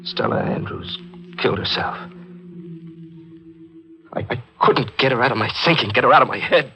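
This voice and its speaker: An actor playing a guilt-ridden newspaper reporter in a 1940s radio mystery, confessing in a halting, anguished way. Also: male voice, medium pitch, bright, forceful tone, lightly acted.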